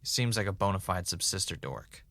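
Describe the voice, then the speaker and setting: A man's voice: sits low, lively intonation, a little fast, vocal fry, sharp tone, no room sound, heavily acted. An actor playing a wry, dramatic man who hosts an investigative podcast, in a conversational audio drama style. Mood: musing. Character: male ex reality-show contestant turned investigative podcaster, wry, dramatic. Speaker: podcast host. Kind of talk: naturalistic audio drama acting, conversational narration.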